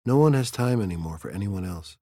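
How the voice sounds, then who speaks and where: A man's voice: fairly low pitch, lively intonation, fairly quick, dark tone, dry recording, clean studio sound. A man voicing a peculiar, dreamy teenage girl of nearly seventeen, whimsical and earnest in her chatter.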